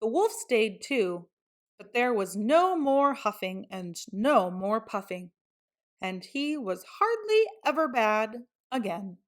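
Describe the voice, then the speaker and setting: A woman reading a picture book aloud to children in an expressive storytelling style. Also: on the high side, takes it slowly. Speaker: storybook reader.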